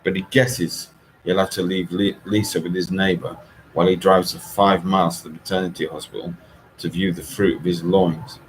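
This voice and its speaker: A man reading a story aloud in an expressive audiobook style. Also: deep voice, normal speed, slight background noise, rough recording. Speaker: author reading own novel.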